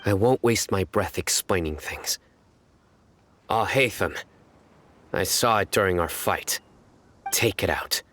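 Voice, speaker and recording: An actor playing a stern, uncompromising enforcer and hunter in a video game, with a formal, grave, commanding delivery. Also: male voice, deep voice, slow, long pauses, fairly bright tone, a little hiss or hum. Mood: grave, warning. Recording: studio voice under prominent music.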